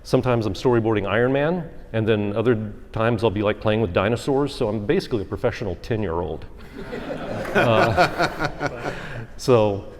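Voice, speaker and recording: Low-voiced man in an echoey hall. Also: animated, normal speed, a little hiss or hum. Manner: loose, filler-heavy. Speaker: panelist. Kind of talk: casual panel talk. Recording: reverberant convention hall.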